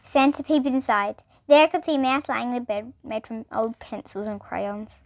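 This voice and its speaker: A woman's voice: fairly high pitch, fairly even intonation, normal speed. A young girl reading a children's bedtime story aloud, expressively and with small stumbles. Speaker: storybook reader.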